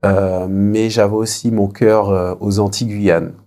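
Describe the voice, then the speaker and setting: A man in his thirties from French Guiana, answering as an interview guest in a reflective, conversational way with frequent pauses. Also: lowish voice, flat delivery, mellow. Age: 30s. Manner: hesitant, reflective, pausing often.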